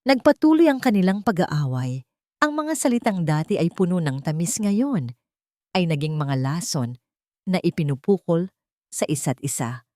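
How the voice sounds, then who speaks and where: Woman, lowish voice, dramatic storyteller, emotive and deliberate, close dry sound. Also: big pitch swings, dark tone, no echo. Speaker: audio drama narrator.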